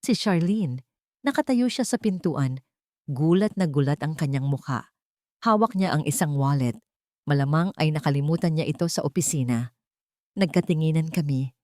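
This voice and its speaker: Female audiobook narrator, Filipino accent, low register, dramatic scripted first-person storytelling, very dry close sound. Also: expressive, moderate pace, long pauses, a little mellow, no room sound.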